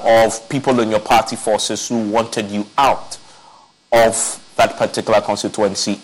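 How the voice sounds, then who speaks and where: A man's voice: lowish voice, rough recording. A man hosting a political talk show, asking upbeat, probing questions of his guests in the studio. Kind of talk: political interview.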